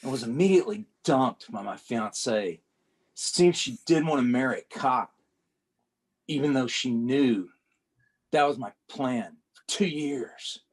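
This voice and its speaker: A man's voice: medium pitch, expressive, a little slow, husky. A man reading a comic aloud as a young male rookie cop, narrating his troubles in a plain conversational first-person way. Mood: wry.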